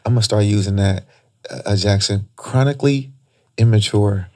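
A man's voice: fairly low pitch, moderate pace, darkish tone. Podcast host, man, easygoing, teasing, slangy questions. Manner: joking, teasing, loose slang. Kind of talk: casual podcast interview.

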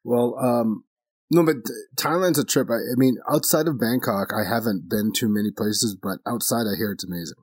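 Blunt, crude male storyteller in casual banter, husky. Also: fairly low pitch, normal speed. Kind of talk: casual chat.

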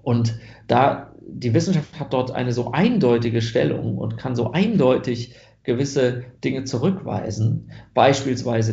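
A man's voice: mid-range voice, vocal fry, warm tone, reverberant, muffled recording. A German man answering interview questions about genetics research, thoughtful, with many fillers and restarts.

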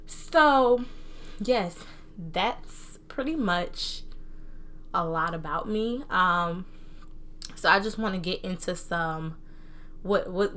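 Animated young American woman, bright forceful voice. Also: on the low side. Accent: American, New Jersey mixed with Southern. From New Jersey, US. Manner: animated, informal, slangy. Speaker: podcast host. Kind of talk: casual solo podcast chat. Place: own room at home.

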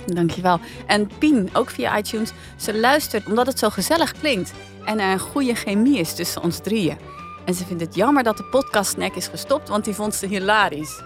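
A woman's voice: medium pitch, animated, normal speed, faint music behind. A woman hosting a podcast in a casual, conversational studio style. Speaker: podcast review host.

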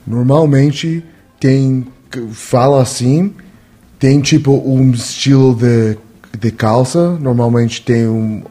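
A man chats casually in a language that is not his first. His voice is dark and mellow, and his sentences stall and restart while he searches for words. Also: mid-range voice, steady tone. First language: not Portuguese. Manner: halting learner's Portuguese, searching for words. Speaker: guest. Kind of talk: casual podcast chat.

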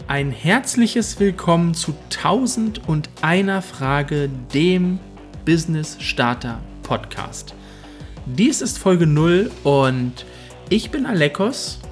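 A man's voice: on the high side, lively intonation, slow pace, soft background music. A man co-hosting a podcast, telling his story in an earnest, reflective way. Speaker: podcast co-host. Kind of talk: casual podcast chat.

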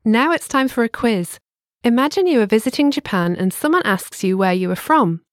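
A woman narrating a scripted language lesson, giving clear, measured instructions. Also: fairly high pitch, animated, no echo, studio quality.

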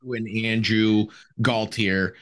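A man hosting a podcast interview, putting measured questions to his guest. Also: fairly low pitch, fairly even intonation, a bit bright. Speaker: podcast host.

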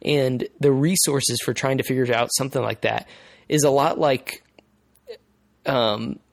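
A male podcast host explaining things in casual conversation, haltingly, repeating phrases as he thinks aloud. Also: medium pitch, steady tone, creaky, no room sound.

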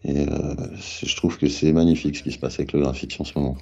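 A man's voice: rumbling low, flat delivery, fast, creaky, a little mellow, husky, highs cut off. A middle-aged man from France, a guest telling his story in a rambling, casual way with slang and many restarts. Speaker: guest, graffiti artist and rapper. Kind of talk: casual interview talk.

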